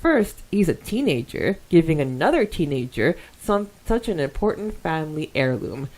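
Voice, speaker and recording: Mellow-voiced, chatty woman podcaster. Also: sits low, sing-song, normal speed, low-quality recording. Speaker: podcast co-host. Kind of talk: casual podcast talk, reading and discussing fan comments.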